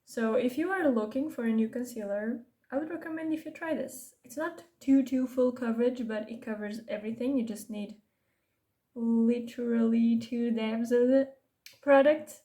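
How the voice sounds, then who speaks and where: A woman's voice: on the high side, normal speed, dark tone. A young Portuguese woman with a Portuguese accent, for whom English is a second language, chatting casually in a makeup vlog with rambling asides and self-corrections. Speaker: beauty vlogger. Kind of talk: chatty get-ready-with-me makeup talk.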